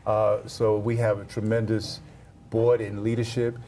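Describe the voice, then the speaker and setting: Middle-aged man, low voice, even intonation, halting interview answers, faint background noise. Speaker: arts organization leader, interview guest.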